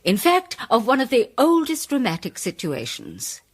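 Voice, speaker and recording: Grand lady playwright in a radio mystery: self-important, declamatory, hugely animated delivery with a slightly husky edge. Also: fairly high pitch, exaggerated voice. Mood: grave, dramatic. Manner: declamatory, grandiloquent, deliberate emphasis. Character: theatrical, self-important woman playwright presenting her case. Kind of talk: dramatised audio mystery, formal speech-making.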